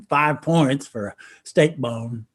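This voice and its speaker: A man's voice: mid-range voice, takes it slowly, slightly rough. A man acting a wry hill-country brother swapping stories in a stage play, with an Appalachian accent. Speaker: actor in a one-act play. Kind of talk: stage play dialogue, reminiscing.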